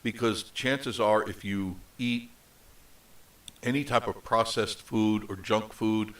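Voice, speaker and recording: A middle-aged man talks off the cuff on a live show, his nasal voice sitting somewhat low. He wanders through thoughts with plenty of ums and restarts. He sounds a little distant from the microphone. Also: steady tone, slow pace, a bit bright. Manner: rambling, with many fillers and restarts. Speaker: host of a live travel show. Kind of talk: unscripted casual live chat. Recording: voice set back from the mic.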